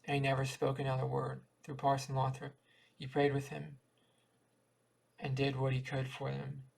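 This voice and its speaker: A man's voice: mid-range voice, completely flat delivery, normal speed, vocal fry, darkish tone, low-quality recording. A man reading a folksy dialect short story aloud as an amateur audiobook reader, often stumbling and repeating words.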